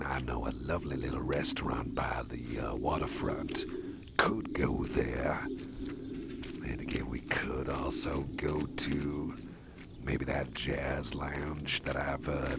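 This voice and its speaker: Deep gravelly whispering man, slow. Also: heavy background noise. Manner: slow, gentle, mostly whispered, soothing. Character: caring male boss carrying the listener. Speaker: ASMR roleplay performer. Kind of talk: soft-spoken ASMR roleplay. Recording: loud outdoor nature ambience behind. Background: rural outdoor sounds with birds.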